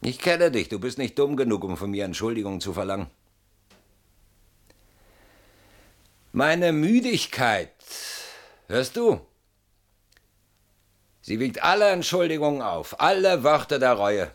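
A man's voice: mid-range voice, big pitch swings, a little slow. An actor playing a weary, bitter middle-aged man in a theatrical German radio drama monologue, brooding and mocking, swinging between muttering and outbursts. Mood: insistent, pleading. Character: weary, bitter man haunted by a betrayed old friend.

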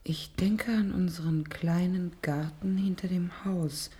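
Young female test subject for radio play acted by a woman: lowish register, meek, hushed, puzzled, obedient. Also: steady tone, unhurried, close to an everyday voice. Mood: compliant, puzzled. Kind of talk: German radio play acting.

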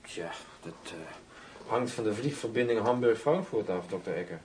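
An actor playing a cool, sardonic undercover bodyguard in a radio drama, dry and clipped. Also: male voice, lowish voice, lively intonation, moderate pace, darkish tone, roomy sound, distant mic, slight background noise, lightly acted.

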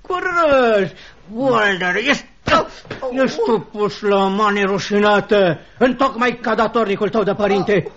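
An actor playing a cruel, gloating schoolmaster in a theatrical radio drama, pompous and bullying with a mock-jovial manner. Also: male voice, high voice, crowd noise. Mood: menacing, bullying. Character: cruel, gloating schoolmaster tyrant, a grown man. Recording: dull lo-fi radio play recording.

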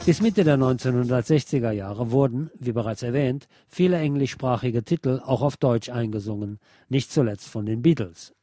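A man's voice: mid-range voice, moderate pace, mellow, soft background music. A man presenting a scripted, lecture-like essay as a narrator. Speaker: presenter reading a radio essay.

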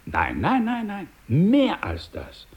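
An actor playing a paternal but menacing older crime boss in a radio drama, speaking in a measured, condescending, smooth-talking way. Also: male voice, high voice, huge pitch swings, darkish tone, lo-fi sound, full character voice. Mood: patronising, measured.

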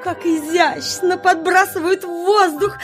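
A woman's voice: very high-pitched, steady tone, unhurried, over music. An actress playing a fussy, dignified female grey crane in a children's audio fairy tale, primly self-important and exclamatory. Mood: enchanted.

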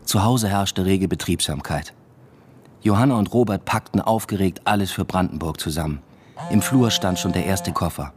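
A man's voice: sits low, moderate pace, noisy background, close to an everyday voice. An actor playing a brooding academic father who narrates his own story in a radio drama, subdued and introspective. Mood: subdued, pensive. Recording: music bed behind the voice.

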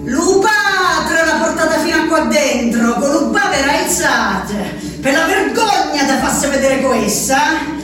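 A woman's voice: high-pitched, animated, a little slow, music in the background. An actress playing a restless peasant wife shut in an asylum, telling her story in an intimate, obsessive, repetitive way with an Abruzzo Italian accent, as a theatrical radio monologue. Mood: wistful, lively. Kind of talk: theatrical radio drama monologue, reciting a letter. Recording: roomy, distant, noisy radio-theatre recording.

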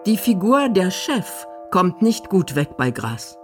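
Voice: mid-range voice, sing-song, slow pace, loud music behind the voice. A narrator reading scripted commentary for a radio documentary.

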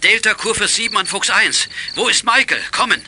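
A man's voice: high voice, animated, brisk, background noise. A middle-aged man playing a commanding, concerned father who gives clipped radio orders in a children's radio play. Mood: worried, firm.

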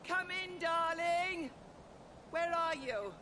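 A woman's voice: very high-pitched, slow pace. An actress playing an insistent, socially ambitious middle-aged mother calling out in a radio drama. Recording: calling from far off, noisy ambience.

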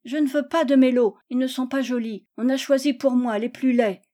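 Woman acting a spoiled little girl, insistent and high. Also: fairly even intonation, normal speed, dark tone, lightly acted. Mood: sulky, defiant. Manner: insistent, pouting.

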